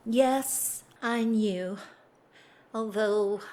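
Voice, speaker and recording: A woman's voice: medium pitch, drawn-out words, darkish tone. An actress playing a restrained, sorrowful upper-class married lady in a period audio drama, speaking formally and holding her feelings in. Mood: guarded, sympathetic. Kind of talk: stage-style period radio drama acting.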